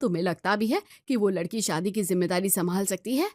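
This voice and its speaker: A woman's voice: mid-range voice, a little fast, a little mellow, dry recording, clean studio sound, close to an everyday voice. A woman voicing a strict but caring middle-aged mother in an audio story, scolding and questioning. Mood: exasperated.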